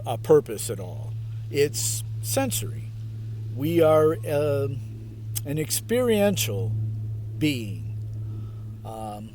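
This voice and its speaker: A man hosting a meditation video, reading aloud at a very slow pace and then adding his own asides. His voice sits a little high and moves up and down a lot. The delivery is halting, wry and laid-back. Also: darkish tone, slight background noise. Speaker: self-styled priest, meditation video host. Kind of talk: reading aloud with informal reflective commentary. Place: outdoors in a park.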